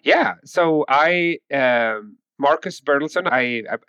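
A man in his forties speaks with a Swedish accent in a loose, relaxed way, chuckling and restarting his sentences as he talks. His voice is muffled and a little lo-fi. The room sounds dry, with no echo. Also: a little high, takes it slowly, clear, resonant tone. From Sweden. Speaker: guest. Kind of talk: conversational interview.

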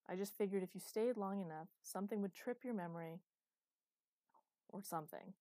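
A woman's voice: fairly low pitch, darkish tone, no room sound, lightly acted. Woman acting an exasperated, imperious immortal queen. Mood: grumpy. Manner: bitter, sardonic, hissing outbursts.

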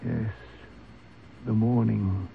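An actor playing a dignified, fatalistic chief who speaks in a solemn, measured, formal way in a radio drama. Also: male voice, on the low side, steady tone, vocal fry, exaggerated voice. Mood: grave resignation. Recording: tinny, noisy old radio broadcast.

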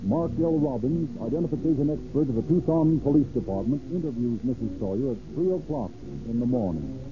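A man narrating a 1930s radio drama in a formal, deliberate storytelling style. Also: mid-range voice, animated, close to an everyday voice. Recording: tinny, narrow-band old radio recording.

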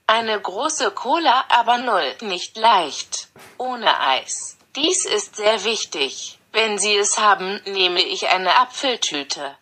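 A computer-generated woman's voice that reads text aloud at a deliberately slow pace. Its tone is bright and even, with the smooth sameness of a speech synthesizer. Every phrase arrives with the same measured rhythm. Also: medium pitch. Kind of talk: flat machine readout of translated text.

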